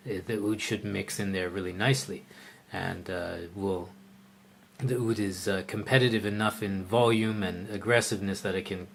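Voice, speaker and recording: A man with a fairly low voice gives a live oud lesson, chatting through viewers' questions. He thinks out loud, stopping to restart sentences and filling pauses with ums and uhs. A faint hiss sits under his voice. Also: vocal fry. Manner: thinks aloud with frequent fillers and restarts; relaxed, conversational. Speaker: oud teacher.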